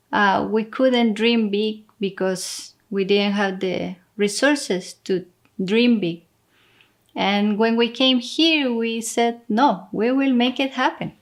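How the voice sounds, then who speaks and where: A woman's voice: on the high side, slow pace. A young woman from Chile with a Chilean Spanish accent, talking casually as a co-host. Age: young adult. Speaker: co-host, money management coach. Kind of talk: casual explanatory talk.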